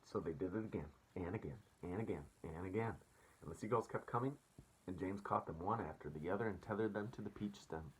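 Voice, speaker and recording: A man's voice: sits low, brisk, slightly rough, low-quality recording. A man reading a storybook aloud as a teacher, expressively, and addressing children casually.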